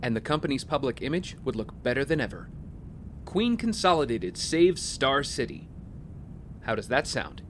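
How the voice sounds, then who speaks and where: An actor playing a wealthy young heir, polite, smooth-talking and guarded, in audio drama dialogue. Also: male voice, mid-range voice, very animated, moderate pace, a little hiss or hum, lightly acted. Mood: earnest, persuasive.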